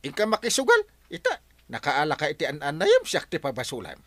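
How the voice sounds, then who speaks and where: A man's voice: fairly high pitch, huge pitch swings, brisk, fairly bright tone, dry recording. A man playing an exasperated village official in a radio comedy drama, fending off a nagging friend in an Ilocano Filipino accent.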